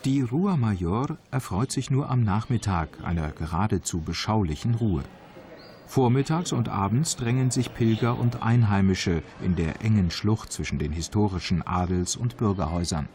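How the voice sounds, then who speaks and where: A man reading scripted travel documentary narration in a calm, measured, informative way. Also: lowish voice, slight background noise. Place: voice-over studio. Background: background music.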